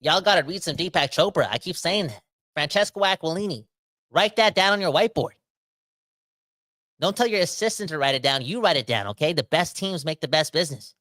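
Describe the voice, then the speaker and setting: A man, rambling through a casual livestream rant full of slang. His voice is bright and forward, and he talks straight to his viewers as he reads their comments aloud. The close mic is dry. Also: fairly high pitch, expressive, a little fast, no echo. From Canada. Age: 30s. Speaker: podcast host reading live chat comments.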